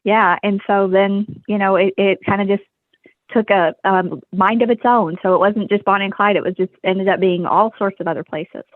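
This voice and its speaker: A woman's voice: medium pitch, fairly even intonation, moderate pace, no echo. A woman from Texas, raised in Germany, answering radio interview questions in a friendly, hesitant way. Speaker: guest historian. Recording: tinny phone line.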